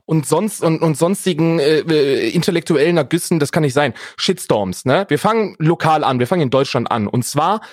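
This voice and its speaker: A man's voice: fairly high pitch, lively intonation, moderate pace, few pauses, no echo, clean studio sound. A man co-hosting a casual podcast, bantering in an ironic, slangy way. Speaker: podcast co-host.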